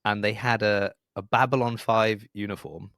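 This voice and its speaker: A middle-aged man with a fairly low voice, recorded close and dry with no room sound. He hosts a podcast in a casual, conversational way. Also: steady tone, normal speed, gravelly, a bit bright, smooth, no room sound. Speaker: podcast host. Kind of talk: casual interview chat.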